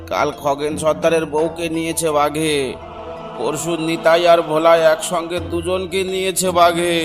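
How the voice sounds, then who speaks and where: A man acts out a weary, frightened village fisherman for a cartoon. His voice sits high, fretful and pleading, with music playing clearly behind it. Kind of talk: Bengali cartoon voice acting. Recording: clear background music under voice.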